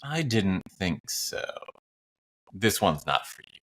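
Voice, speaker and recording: A man's voice: low-pitched, sing-song, fairly bright tone, exaggerated voice. A man voicing an over-the-top macho storyteller of whiskey legends, theatrical and challenging in an audio-drama promo style. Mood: grandiose challenge. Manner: slow, challenging, theatrical delivery.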